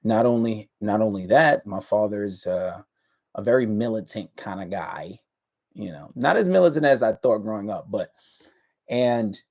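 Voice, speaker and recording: A man's voice: lowish voice, lively intonation, moderate pace, a little mellow. A man in his thirties hosting a casual livestream chat, talking in a laid-back, drawling way that trails off and restarts. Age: 30s. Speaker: livestream host.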